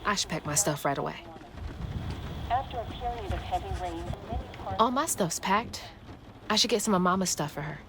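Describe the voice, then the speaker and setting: A woman's voice: on the low side, lively intonation, fairly quick, a loud crowd. An actress playing a determined, anxious young Southern US woman searching for her mother in a video game, earnest and emotionally open. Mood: anxious, urgent. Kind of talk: cinematic video game acting with reflective narration. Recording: music behind the voice.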